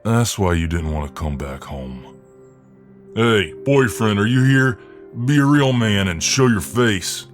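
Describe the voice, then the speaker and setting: A man's voice: lowish voice, lively intonation, unhurried, faint music behind. A man acting out a friendly, protective, cocky Southern US mailman in an ASMR role-play, with a folksy, soothing drawl. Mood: indignant.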